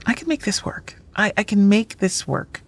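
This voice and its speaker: A woman's voice: mid-range voice, lively intonation, moderate pace, natural voice. A woman playing a frustrated, self-doubting but stubborn writer in an audio drama. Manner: exasperated, hesitant.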